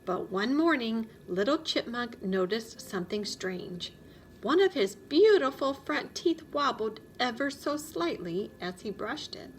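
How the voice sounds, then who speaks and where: Female children's storybook reader: high-pitched, very lively intonation, expressive narration. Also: unhurried, a little hiss or hum, poor audio.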